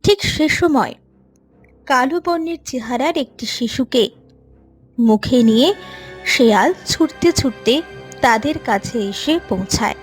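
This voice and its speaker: A woman's voice: on the high side, animated, a little slow, pauses often, husky. A woman narrating a scripted story as the storyteller. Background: soft music.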